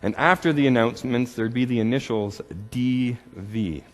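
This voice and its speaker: A man from Canada preaching a sermon, telling stories with gentle humor and often repeating words as he goes. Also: mid-range voice, moderate pace, big-room sound. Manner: storytelling with self-deprecating humor, repeats words. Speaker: pastor.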